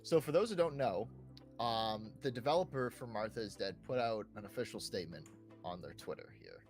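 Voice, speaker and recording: A man's voice: fairly low pitch, expressive, a little fast, darkish tone, faint music behind. A man hosting a casual podcast chat, talking in a chatty way and often checking in with "you know" and "right". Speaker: podcast host.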